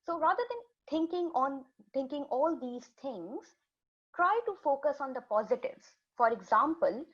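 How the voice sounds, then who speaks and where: A woman whose first language is Urdu, giving a health presentation to students in a steady, explanatory way, often restarting and repeating words. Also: high voice, moderate pace. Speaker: health educator presenting a webinar. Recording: dull lo-fi webinar audio.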